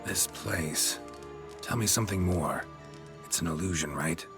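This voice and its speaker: An actor playing a stoic, weary monster hunter in a video game, gruff, terse and deadpan. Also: male voice, sits low, unhurried, music in the background. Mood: guarded, questioning.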